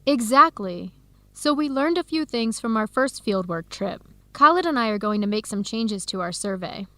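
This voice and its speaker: An actress playing an earnest young American woman researcher in a radio drama, with clear, expressive, carefully enunciated speech. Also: fairly high pitch, normal speed, dry recording. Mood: enthusiastic. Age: young adult. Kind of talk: scripted radio drama dialogue.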